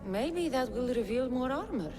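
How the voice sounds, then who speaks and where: A woman's voice: sits high, animated, normal speed, big-room sound, over music. An actress playing a bold, determined female adventurer who asks direct questions. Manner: direct and inquisitive. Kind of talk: game cutscene acting.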